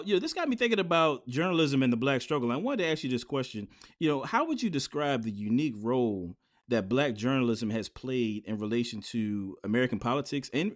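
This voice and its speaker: A man hosting a podcast, reading a scripted opening and then chatting casually and easily with his guest. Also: mid-range voice. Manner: friendly, easygoing, laughs along. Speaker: podcast host.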